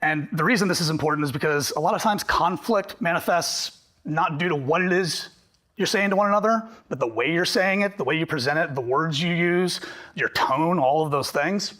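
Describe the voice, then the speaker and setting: A man presenting a workshop to a group, talking fast and animated, slightly hoarse from losing his voice. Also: a little high. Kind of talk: live workshop talk to a group.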